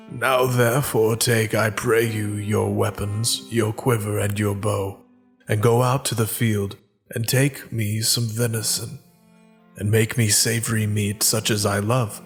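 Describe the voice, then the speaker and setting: An actor playing an old, dim-eyed patriarch father in a dramatized scripture reading, speaking gravely and deliberately. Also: lowish voice. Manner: solemn, deliberate archaic delivery. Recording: music under the voice.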